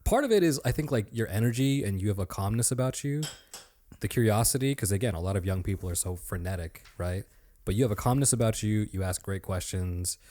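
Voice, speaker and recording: A man in his thirties with a deep voice, joking around as a guest in a casual podcast chat. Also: lively intonation, fairly quick, warm tone. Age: 30s. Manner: joking, playful, swears casually.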